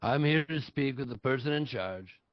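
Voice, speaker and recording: A man's voice: medium pitch, expressive, normal speed, no echo, dull audio, close to an everyday voice. A man playing an arrogant, petulant older politician in a comic stage script, blunt, indignant and dismissive, at a table read. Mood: curt, demanding. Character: arrogant, petulant older male politician in afterlife waiting room. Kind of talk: live table read acting.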